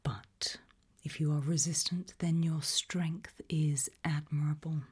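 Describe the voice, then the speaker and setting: A woman whispering an ASMR role-play straight to the listener in a breathy, measured, quietly ominous way. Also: sits low, steady tone. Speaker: ASMR host playing a fear-test examiner.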